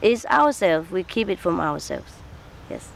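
A woman giving interview answers as a spiritual teacher, speaking halting English with many fillers and small laughs. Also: fairly low pitch, sing-song, gravelly. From Vietnam.

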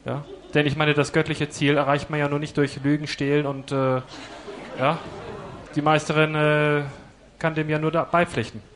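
A man interviewing a spiritual teacher, reading out his questions in a careful, measured way. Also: on the high side, little pitch movement, a bit bright, slight background noise.

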